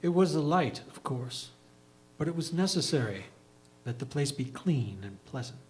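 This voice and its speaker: A man's voice: mid-range voice, lively intonation, moderate pace, poor audio. A man giving scripted literary narration in a reader's theatre performance.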